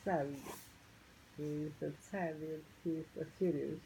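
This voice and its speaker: A man's voice: on the high side, steady tone, measured pace, creaky, mellow, slight background noise, low-quality recording. A man chatting casually and offering brief, terse remarks on the poems. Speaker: conversation partner. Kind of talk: casual chat.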